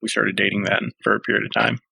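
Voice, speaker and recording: A man's voice: on the low side, little pitch movement, fast, creaky, pushed and bright, breathy edge, dry recording. A man in his thirties, a podcast guest, speaking casually with dry, self-deprecating humor. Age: 30s. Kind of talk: casual interview conversation.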